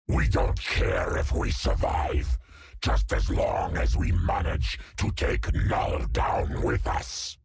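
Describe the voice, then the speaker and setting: Extremely raspy, growling antihero. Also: male voice, extremely low pitch, sing-song, slow pace, no room sound, exaggerated voice. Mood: grim resolve. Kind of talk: video game character acting.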